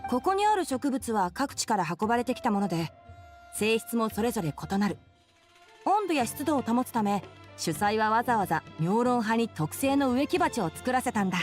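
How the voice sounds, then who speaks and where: A young male ranger and plant scholar in a video game. The delivery is quick and explanatory, dry and matter-of-fact, in a fairly high register. Music runs clearly underneath. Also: sing-song. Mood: explaining. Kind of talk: animated video game voice acting. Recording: game audio over music.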